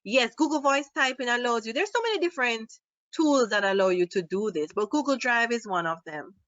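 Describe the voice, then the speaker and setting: A woman with a Jamaican accent presenting a live software tutorial, upbeat and quizzing her audience as she demonstrates. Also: a little high, expressive, a little fast, dry recording, highs cut off. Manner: upbeat, asks the audience questions. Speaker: online workshop presenter. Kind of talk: live screen-share tutorial. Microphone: built-in laptop mic.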